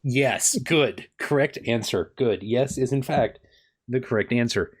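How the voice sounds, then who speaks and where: A man's voice: medium pitch, measured pace, breathy edge. A man with a mid-range voice runs a tabletop game as game master. He improvises casually, with playful, wry banter, and tends to repeat his own phrases.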